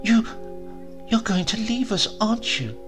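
A man reading a storybook, voicing a clingy young woman who pleads in a high, tearful voice. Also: big pitch swings, unhurried, over music. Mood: pleading.

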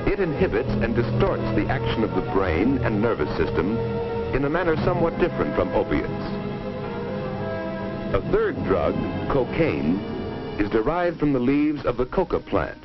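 Male narrator, low, grave, authoritative, 1950s educational film, dull soundtrack with music. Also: big pitch swings, moderate pace.

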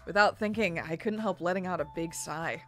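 A woman's voice: fairly low pitch, fairly quick, fairly bright tone. A woman hosting a let's play, chatting casually and reading out narration. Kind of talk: casual gameplay chat and narration. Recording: faint game music behind.

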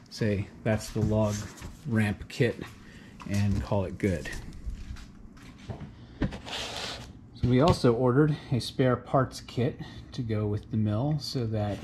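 A man talks through an unboxing in a garage, relaxed and explanatory, thinking aloud with the odd filler. His voice sits at an ordinary middle pitch. Steady background noise runs under the handheld camera recording. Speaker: homesteader unboxing equipment. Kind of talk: casual unboxing narration.

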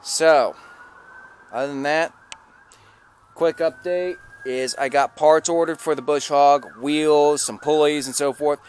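A man's voice: on the high side, fairly even intonation, slow, a little mellow. A middle-aged man giving a casual how-to narration into a handheld camera outdoors. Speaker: vlogger showing his truck project. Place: outdoors by a vehicle in a residential yard. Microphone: handheld camera mic at arm's length. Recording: clearly noisy handheld outdoor recording, clicks.

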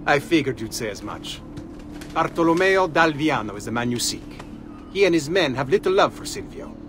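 An actor playing an effusive, theatrical Venetian ally in a video game. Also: male voice, a little high, animated, normal speed, fairly bright tone, a little hiss or hum. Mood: businesslike.

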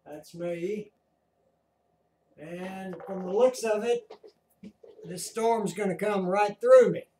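A man with an American accent giving casual, halting live commentary as he watches and narrates a screen. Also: high-pitched, mellow, slight background noise. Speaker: amateur storm-watcher narrating a screen recording. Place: indoors at home by a computer. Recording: roomy echo.

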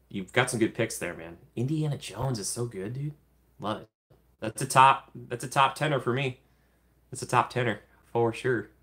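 A young man in his twenties hosting a casual livestream from a small room, chatting and answering viewer comments. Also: lowish voice. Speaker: livestream host.

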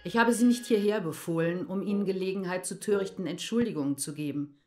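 A woman's voice: fairly low pitch, quick pace, a little mellow, soft background music, close to an everyday voice. Actress playing a commanding, imperious mother. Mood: scornful rebuke. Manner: commanding, formal, reproachful. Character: stern, imperious mature Portuguese mother, secretly passionate. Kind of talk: German radio drama acting.

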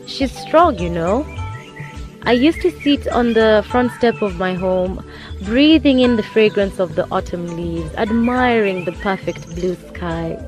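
A woman's voice: medium pitch, animated, a little slow, soft background music, close to an everyday voice. Weary, sorrowful mother acted by a woman. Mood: wistful. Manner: reflective, dramatic storytelling. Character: weary, sorrowful mother recalling a painful past. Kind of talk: radio drama acting.

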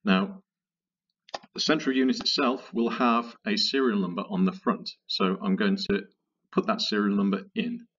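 Male tutorial presenter, low-pitched and slightly husky, methodical and conversational. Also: fairly even intonation, moderate pace, fairly bright tone, far from the mic, muffled recording. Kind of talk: software walkthrough narration, conversational.

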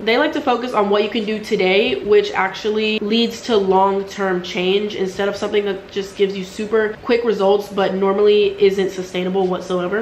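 A young woman in her twenties chats casually to a camera set a few feet off, her voice sitting in a comfortable middle range. She sounds a little congested as she talks. The room around her rings with a roomy echo, and a faint hum of ambience sits behind her. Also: medium pitch. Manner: chatty, upbeat, frequent "like" fillers. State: slightly congested. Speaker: lifestyle vlogger. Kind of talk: casual vlog chat and read sponsor spot. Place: at home, apartment kitchen and room. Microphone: camera at a distance.

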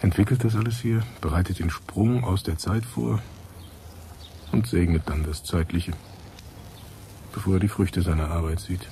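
A dark-voiced, brooding scientist. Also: male voice, low voice, moderate pace, pauses often, a little hiss or hum. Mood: wistful, wry. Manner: reflective, fragmentary musing. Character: weary brooding male research scientist, musing alone on memories. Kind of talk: radio drama interior monologue.

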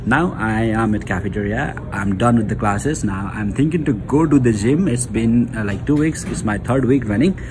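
A man's voice: fairly low pitch, expressive, normal speed, hardly any pauses. Young man, Nepali accent, vlogging on a handheld phone, chatty with lots of fillers, noisy background. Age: 20s. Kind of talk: casual vlog chat. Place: college gym and campus halls, indoors. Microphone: phone camera at arm's length.

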